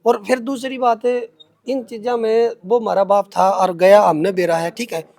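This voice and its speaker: A young man with a Haryanvi accent speaks in a strikingly high-pitched voice. He is giving an interview in his own defence, colloquial and emphatic, often repeating himself. The sound is close and dry, with very little room in it. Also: little pitch movement, normal speed, vocal fry, darkish tone, no room sound. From Haryana, India. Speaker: interviewee answering accusations against him.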